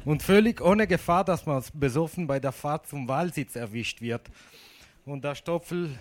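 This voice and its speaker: A man who is not a native German speaker, giving a humorous talk at an event, halting with many fillers. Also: on the high side.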